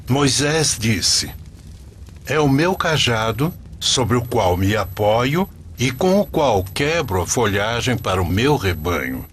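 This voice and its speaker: Slow, expressive male storyteller. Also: mid-range voice, a little hiss or hum. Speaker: narrator, storyteller. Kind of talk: scripted narration of a religious story.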